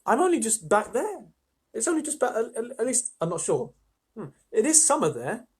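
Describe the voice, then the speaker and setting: A man's voice: very high voice, very animated, moderate pace, a little mellow, slightly rough, pitched above the performer's own voice, heavily acted. A man voicing a polite, puzzled young girl who speaks simply and hesitantly in a storybook reading. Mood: amused, hesitant.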